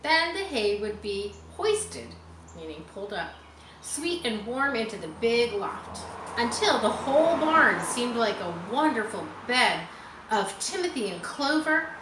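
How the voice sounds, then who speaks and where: A woman reading a children's story aloud in a teacherly way, pausing to explain and chat to her listeners. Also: a little high, very animated. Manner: teacherly, explains words and adds chatty asides. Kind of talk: children's audiobook reading with asides. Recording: roomy echo with faint background noise.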